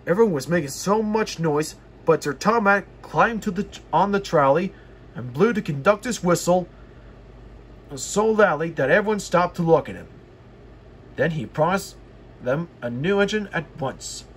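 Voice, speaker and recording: A man reading a children's story aloud, haltingly, stumbling over words and repeating phrases. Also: high-pitched, animated, slightly rough. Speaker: storybook reader.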